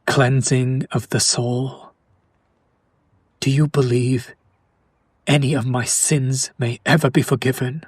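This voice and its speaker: A tormented, centuries-old vampire nobleman, acted by a man, speaks slowly and clearly in an intimate audio roleplay. His delivery is formal, hushed and theatrical, full of brooding romance and dramatic pauses, recorded dry and close. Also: mid-range voice, little pitch movement, dry recording. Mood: tormented, pleading. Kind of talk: intimate audio roleplay monologue addressed to the listener.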